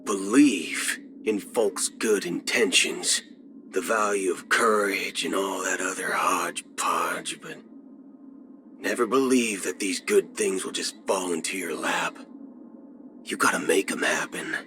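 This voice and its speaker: An actor playing a cocky, folksy cyborg cowboy outlaw in a video game, with a Southern American drawl. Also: male voice, sits low, slow, noisy background. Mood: wry, folksy. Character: swaggering cyborg cowboy gunslinger outlaw, adult male. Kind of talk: video game character voice lines. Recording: music under the voice. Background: background music.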